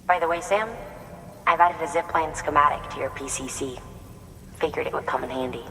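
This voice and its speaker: A chatty, encouraging engineer in a game. The tone is bright and sharp, and the delivery breezy. A long reverb and faint hiss sit behind the voice. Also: medium pitch, fairly quick.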